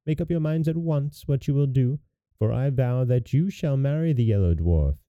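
A man's voice: mid-range voice, lively intonation, moderate pace, clear, resonant tone, pitched above the performer's own voice, lightly acted. A man voicing an ancient, ugly old woman fairy in a storybook, cackling and threatening as she scolds. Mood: menacing. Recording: roomy, narrow phone-like sound.

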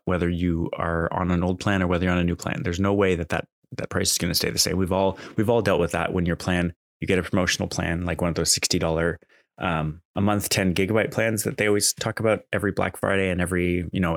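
A man's voice: deep voice, creaky, a bit bright, dry recording, clean studio sound. A man talking casually off the cuff as a podcast host, in a conversational, exasperated, complaining way. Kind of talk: casual unscripted monologue.

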